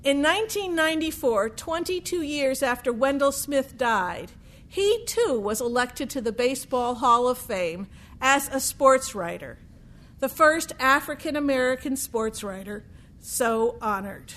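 A woman storyteller with a high voice tells a true story. She goes very slowly and deliberately, using dramatic pauses and repeated phrases. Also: lively intonation, a bit bright.

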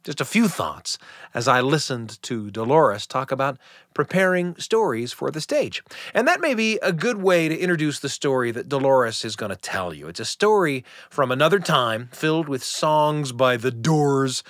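A man hosting with scripted, reflective narration, speaking in a measured, thoughtful way. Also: mid-range voice, pushed and bright, no room sound, clean studio sound. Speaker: host.

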